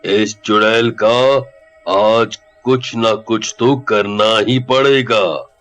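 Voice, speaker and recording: A man's voice: lowish voice, fairly even intonation, extremely slow, fairly bright tone, faint music behind. A man playing a grave village holy man. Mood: exasperated. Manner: grave, exasperated.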